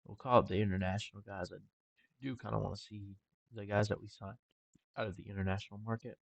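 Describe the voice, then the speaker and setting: A young man talking casually over a game with a congested, stuffy nose and a relaxed, rambling manner. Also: on the low side, fast talker, gravelly, no room sound, highs cut off. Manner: relaxed, rambling with filler ums. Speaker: gaming channel host. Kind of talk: casual gameplay commentary.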